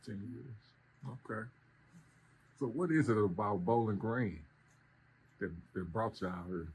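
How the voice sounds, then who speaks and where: Casting: low-voiced male host, relaxed and slangy, faint background hiss. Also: unhurried, rough recording. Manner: laid-back, short affirmations, loose slang questions. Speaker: interview host. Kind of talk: casual interview.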